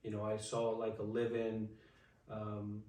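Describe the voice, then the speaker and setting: A man in his twenties, telling his life story as an interview guest in a halting way, with many fillers and restarts. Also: fairly low pitch, monotone, dark tone. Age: 20s. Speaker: interview guest, Buddhist monk. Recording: echoey room, far from the mic.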